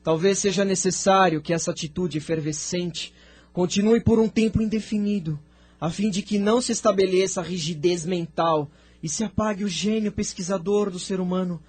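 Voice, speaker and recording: A man with a Brazilian accent playing a venerable, thoughtful spirit sage in an audio drama, speaking in a measured, formal, declamatory way. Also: high voice, darkish tone. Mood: solemn, reflective. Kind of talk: scripted audio drama dialogue.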